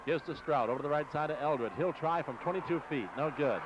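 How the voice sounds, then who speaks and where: An American man doing live radio basketball play-by-play in clipped, rapid-fire short phrases, on an old AM broadcast. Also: on the high side. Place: basketball game in a gym. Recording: muffled noisy 1960s AM radio broadcast.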